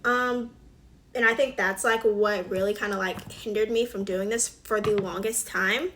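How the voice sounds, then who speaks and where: A woman's voice: mid-range voice, fairly even intonation, moderate pace, a bit bright. A woman, chatting casually while doing her makeup, with lots of fillers and the odd whispered aside. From Alabama. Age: 20s. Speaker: beauty vlogger. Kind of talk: casual get-ready-with-me chat.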